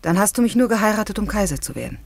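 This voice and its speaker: An actress playing a proud, ambitious middle-aged Roman empress in a German radio play, haughty and accusing. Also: female voice, mid-range voice, animated, very fast, vocal fry. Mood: indignant, proud.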